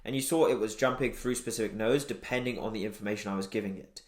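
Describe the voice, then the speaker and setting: A man's voice: fairly low pitch, fast. A man giving a casual tutorial explanation as a presenter, talking in a conversational, explanatory way. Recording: roomy, echoey voice recording.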